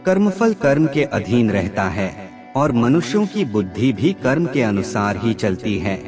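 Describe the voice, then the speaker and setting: A man reading scripted maxims as a narrator, in a formal, measured and deliberate way. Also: mid-range voice, very animated, over music.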